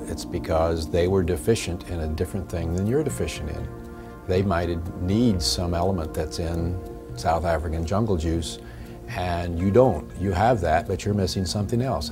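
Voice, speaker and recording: A man in his sixties, explaining things in a reassuring way in an office interview. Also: sits low. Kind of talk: documentary interview. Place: office with a desk.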